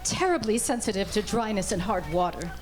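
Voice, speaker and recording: A woman's voice: mid-range voice, expressive, a little fast, close to an everyday voice. A woman playing a polite young customer seeking advice in an old-time radio commercial. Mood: polite, eager.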